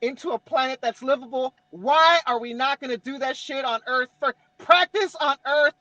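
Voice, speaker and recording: A man's voice: very high-pitched, moderate pace, pushed and bright, no room sound, highs cut off. A man with a Mexican-American East Los Angeles accent, co-hosting a casual podcast chat, swearing freely and checking in with "right?" as he explains. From Southern California. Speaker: podcast co-host.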